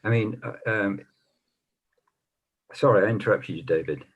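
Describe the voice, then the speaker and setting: A man's voice: lowish voice, moderate pace, breathy edge. A man who stammers, chairing a panel discussion over a video call and asking questions with hesitations and fillers. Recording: dull lo-fi video call audio.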